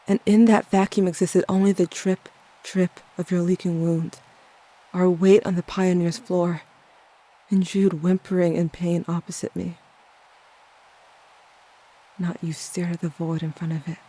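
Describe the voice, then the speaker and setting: A performer playing a young teenage narrator telling a haunted story in a measured, scripted audio-drama style. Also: mid-range voice, warm tone, poor audio. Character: young Cain, grieving, watchful older teenage narrator.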